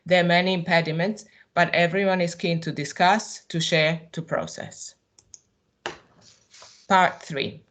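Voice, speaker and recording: A woman with a Serbian accent reads a personal talk aloud to a symposium. Her voice sits a little low, and she keeps a measured, reflective pace. Now and then she hesitates on an um or a restart. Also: fairly even intonation, a bit bright. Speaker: artist presenting at a symposium. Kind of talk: reading a prepared personal talk.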